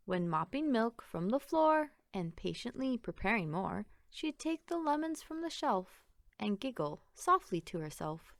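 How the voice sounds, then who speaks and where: A woman reading a rhyming children's story aloud in an expressive, sing-song way. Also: fairly high pitch, creaky, dry recording. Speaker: picture book reader.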